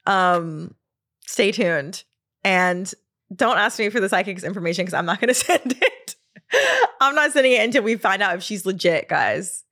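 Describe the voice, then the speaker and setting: A woman's voice: mid-range voice, expressive, moderate pace, sharp tone. A woman, hosting her podcast in a casual solo chat, laughing often and trailing off with fillers. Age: 30s. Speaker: podcast host.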